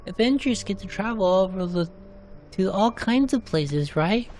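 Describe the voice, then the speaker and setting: A man voicing an excited, proud little girl in a video game story. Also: high voice, moderate pace, soft background music, voice pushed up. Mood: eager, proud. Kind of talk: narrated game cutscene character.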